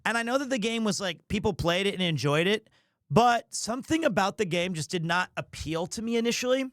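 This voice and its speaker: High-voiced man chatting on a livestream. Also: lively intonation, brisk, a bit bright, no room sound, clean studio sound. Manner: rambling, opinionated, playfully defensive. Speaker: live streamer, host of the show. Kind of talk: casual livestream chat with viewers.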